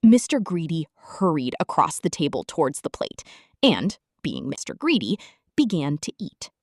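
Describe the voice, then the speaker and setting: A woman reading a children's story aloud as narrator, expressively and with deliberate emphasis on descriptive words. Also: low-pitched, big pitch swings, no echo, clean studio sound.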